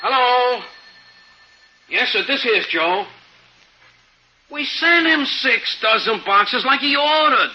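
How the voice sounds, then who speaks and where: An actor playing a simple, lovestruck clerk with a plaintive, slangy manner, in 1950s radio style. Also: male voice, very high voice, animated, slow pace, long pauses, noisy background, exaggerated voice. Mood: businesslike. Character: simple, lovestruck, uneducated male shipping clerk. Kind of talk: 1950s radio comedy-drama acting. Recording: muffled 1950s radio recording.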